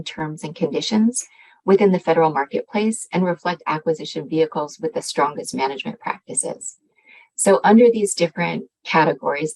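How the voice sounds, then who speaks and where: A woman's voice: sits low, darkish tone, poor audio. A woman giving an explanatory slide presentation as a government agency presenter, speaking hesitantly with frequent ums.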